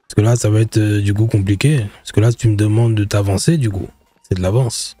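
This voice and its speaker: Man playing a cautious haggling buyer: low, mellow, unhurried bargainer, dry close mic. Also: steady tone, no echo, faint music behind, lightly acted. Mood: wary haggling. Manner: deliberate, bargaining, casual street French. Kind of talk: roleplay in character.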